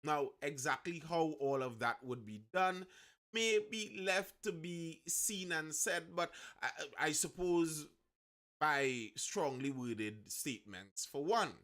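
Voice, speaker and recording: A man's voice: on the high side, slow pace, a bit bright. A man with a Trinidadian accent hosting a live news discussion, talking conversationally and often rephrasing his points. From Trinidad and Tobago.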